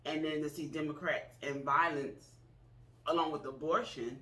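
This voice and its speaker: A man's voice: on the high side, fairly even intonation, normal speed, bright, forceful tone. A man around forty, co-hosting a casual political chat, talking earnestly with frequent restarts. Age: 40s. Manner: earnest, hesitant with many restarts. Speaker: co-host. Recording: echoey room, voice from far off.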